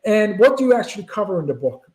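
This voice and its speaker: A man co-hosts an interview, his voice a bit higher than usual. He asks long, rambling questions with many fillers. The room sounds echoey. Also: big pitch swings, fast talker, darkish tone. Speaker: podcast co-host.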